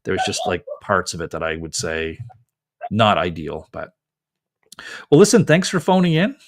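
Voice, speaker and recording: Low-voiced man, casual advisor. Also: wildly swooping pitch, normal speed. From Canada. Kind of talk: live call-in legal advice, casual and conversational. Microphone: close mic, wearing headphones.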